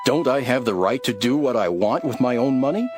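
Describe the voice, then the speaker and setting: A man's voice: medium pitch, lively intonation, brisk, music in the background, lightly acted. A man voicing a firm, generous vineyard owner in a scripture drama, reasoning assertively. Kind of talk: acted parable in scripture drama.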